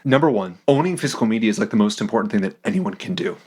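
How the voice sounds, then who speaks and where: Fast-talking enthusiastic American man. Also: lowish voice, animated, a little mellow, breathy edge. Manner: enthusiastic, swears freely, rambling. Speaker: podcast guest, musician. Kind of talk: casual podcast chat.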